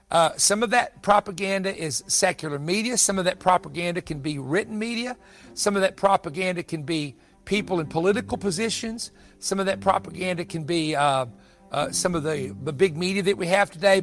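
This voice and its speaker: Male preacher, high voice, emphatic, exhorting, repetitive phrasing, evangelical sermon, music underneath. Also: a bit bright. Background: background music.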